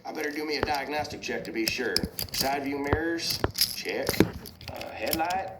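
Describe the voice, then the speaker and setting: A man's voice: fairly high pitch, normal speed, reverberant, voice pushed up, natural voice. A man voicing a goofy, easily startled hillbilly tow truck with a drawling, folksy way of talking. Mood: dazed, folksy. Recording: noisy room, distant mic.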